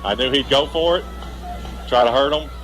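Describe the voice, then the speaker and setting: A man's voice: medium pitch, moderate pace, fairly bright tone, faint music behind, low-quality recording. An American man giving unscripted, informal color commentary at a football game, emphatic and prone to repeating himself. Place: stadium broadcast position at a high school game.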